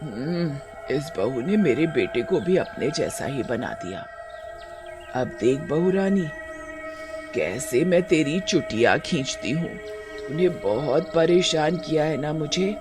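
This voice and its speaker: Man voicing a slow, vengeful old witch ghost. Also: a little high, expressive, music in the background. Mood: bitter, vengeful. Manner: slow, drawn-out, menacing. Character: wronged old mother-in-law turned vengeful witch ghost.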